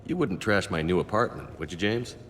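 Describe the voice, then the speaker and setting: A very deep-voiced, steady commander. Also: male voice, a little fast, in a large hall, slight background noise. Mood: steady, supportive. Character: male military commander, steady, wry, supportive human soldier. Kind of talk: video game dialogue acting.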